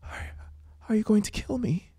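A man voicing a frightened, remorseful young girl, an AI, in a thin falsetto with halting, timid delivery for an audiobook. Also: dark tone, husky, full character voice. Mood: scared. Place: small home music studio, indoors. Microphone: close studio microphone. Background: music soundscape.

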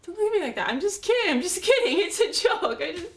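A woman performing a theatrical monologue as an unstable, self-loathing young woman, confessing in a halting, volatile way. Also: mid-range voice, natural voice. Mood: frantic, pleading. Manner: halting, stammering confession swinging between whispers and cries.

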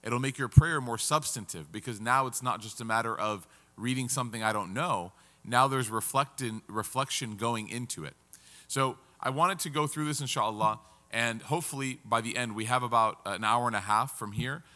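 A man's voice: mid-range voice, moderate pace, pushed and bright, big-room sound. A man in his thirties, seated at a table, teaching an interactive Quran class and asking the audience questions. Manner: engaging, asks the audience questions.

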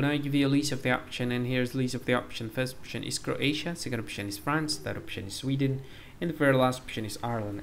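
Gravelly man explaining in simple words. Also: medium pitch, slight background noise. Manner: repetitive, simple wording, slightly halting. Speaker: quiz answer channel host. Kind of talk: plain scripted explainer.

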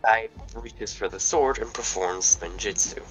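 A man's voice: fairly low pitch, unhurried, a bit bright, soft background music, poor audio. A man narrating stage directions in an amateur table read, plain and matter-of-fact.

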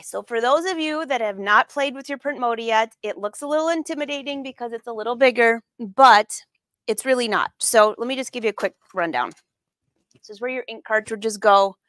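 A woman's voice: fairly high pitch, a bit bright, no room sound, clean studio sound. A middle-aged woman hosting a casual live craft demonstration, chatting informally and putting questions to her viewers. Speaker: host of a live sewing lesson. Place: sewing studio room, indoors.

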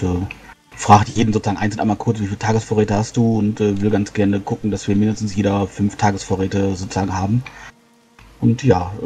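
Man, tabletop player, lowish mellow voice, quick casual delivery. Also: slight background noise. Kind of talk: casual in-game talk and action narration.

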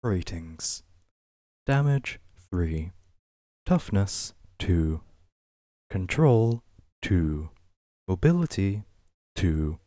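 Slow, low-voiced man reading for ASMR. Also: sing-song, warm tone, no room sound, clean studio sound. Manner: measured, list-like reading with pauses. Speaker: ASMR reader. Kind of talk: ASMR reading of a game wiki page.

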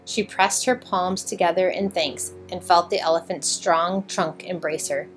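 Female storybook narrator, lower-pitched, expressive scripted read-aloud for children. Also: a little slow, faint music behind, poor audio.

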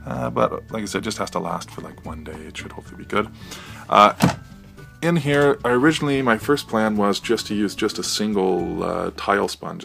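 A man's voice: vocal fry, a bit bright. An American man giving a casual, hesitant, off-the-cuff walkthrough of his DIY project. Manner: hesitant, off-the-cuff, low-key.

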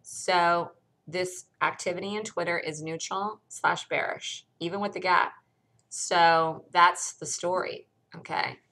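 A woman with a hoarse voice from a cold, bluntly walking through a stock chart in a casual screen-share lesson. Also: fairly low pitch, fairly even intonation, slow pace, pushed and bright. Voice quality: gravelly. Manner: blunt, conversational, thinks aloud while pointing at charts. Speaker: stock trading educator.

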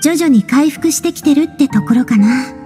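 A woman's voice: sits high, normal speed, dark tone, lightly acted. An actress playing a frank, big-sisterly young woman in a video game, speaking breezily and directly. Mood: frank, consoling. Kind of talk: Japanese video game voice acting. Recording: reverberant hall-like echo. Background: faint music.

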